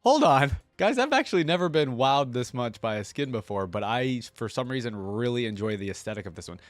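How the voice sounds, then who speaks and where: A man's voice: medium pitch, lively intonation, a little fast, no room sound. A man hosting a reaction video, commenting in a casual, slangy and exclamatory way.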